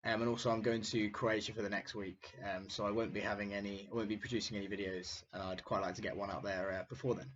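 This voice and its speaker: A young man hosting a casual finance video, his voice croaky from illness, talking hesitantly with many fillers. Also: fairly low pitch, level tone, fast talker, hardly any pauses, a bit bright. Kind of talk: casual market commentary. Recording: dull lo-fi sound, faint noise.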